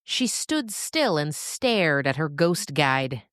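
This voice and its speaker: A woman narrating a scripted story expressively, with dramatic pauses. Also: low voice, sing-song, fairly bright tone, no room sound, studio quality.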